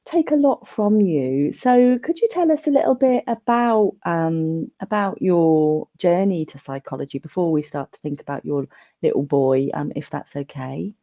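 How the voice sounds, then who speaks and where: A woman's voice: low voice, lively intonation, moderate pace, dry recording, dull audio. A woman hosting a podcast interview, chatty and full of filler words. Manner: chatty, many ums, warm welcoming asides. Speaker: podcast host.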